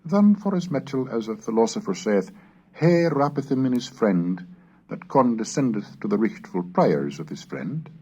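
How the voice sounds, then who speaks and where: A man reading scripted scholarly material aloud in a deliberate, declamatory manner, like a lecturer on a recorded course. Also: medium pitch, very animated, warm tone, slightly rough. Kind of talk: scripted narration and dramatic reading of Middle English texts. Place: recorded narration on cassette. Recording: dull, lo-fi 1970s cassette recording.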